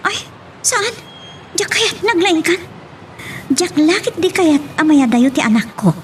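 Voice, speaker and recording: A woman's voice: extremely high pitch, measured pace, exaggerated voice. A woman with a Filipino accent playing an emotional young expectant mother in an amateur audio drama, with noise behind her. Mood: pleading. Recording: steady background noise.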